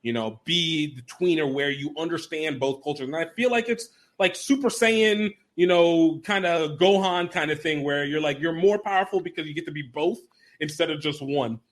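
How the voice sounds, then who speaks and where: Animated, laughing male podcast host. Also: normal speed. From Nigerian family, raised in America. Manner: animated, laughing, acts out quotes with big pitch jumps. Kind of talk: casual interview chat.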